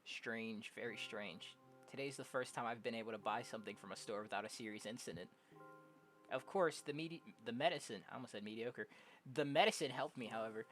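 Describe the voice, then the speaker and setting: A young adult game streamer casually reading visual novel dialogue aloud, jokey and prone to loud exclamations. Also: medium pitch, brisk. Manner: animated, jokey, exclaims loudly. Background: game music.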